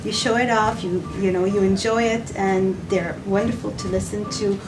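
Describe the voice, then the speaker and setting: A woman giving unscripted promotional answers as a company representative, halting as she searches for words. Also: medium pitch, fairly even intonation. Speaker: company representative, interview guest. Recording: slightly distant mic, music behind. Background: background music.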